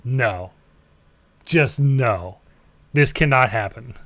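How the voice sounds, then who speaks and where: A man's voice: mid-range voice, slow, fairly bright tone. A man co-hosting a podcast in casual reaction chat, blunt and disapproving, often repeating himself. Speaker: podcast co-host. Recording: music clearly behind voice. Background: movie trailer audio and music.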